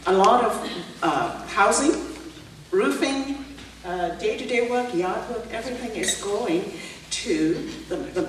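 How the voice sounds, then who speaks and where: Woman in her sixties, echoey hall. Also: medium pitch. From Burma. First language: Burmese. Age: 60s. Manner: anecdotal, laughing asides, rhetorical questions. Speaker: political candidate. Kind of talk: candidate forum answer. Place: museum event hall. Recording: echoey hall, distant mic, background noise.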